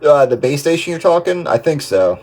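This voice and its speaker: A man's voice: a little high, very animated, fairly quick. A man chatting casually and ramblingly over the radio about equipment, trailing off as he goes. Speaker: host of an amateur radio chat. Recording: noisy radio transmission with static.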